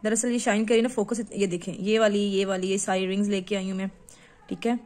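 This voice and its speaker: A woman's voice: medium pitch, a little fast. A young mother from Pakistan, showing her purchases on camera at home and coaxing her children as she goes. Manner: lively, coaxing, talks to her children while filming. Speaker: vlogger and mother. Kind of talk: casual vlog show-and-tell. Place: home room indoors. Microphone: handheld phone camera.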